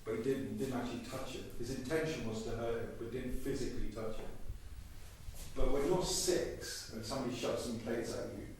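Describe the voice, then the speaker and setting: A man's voice: mid-range voice, steady tone, brisk, a little mellow, lightly acted. An actor playing a furious father defending his son, brooding and bitter in a theatre monologue. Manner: bitter, brooding, bursting into rage. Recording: roomy stage, distant mic, faint hiss.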